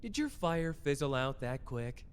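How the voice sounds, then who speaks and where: A man's voice: fairly high pitch, sing-song, unhurried, clean tone, long reverb tail. A man playing a cocky, protective young sorcerer and clan head in a roleplay audio drama, taunting and sardonic in casual slang. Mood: taunting, menacing. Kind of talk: character roleplay audio drama addressed to the listener.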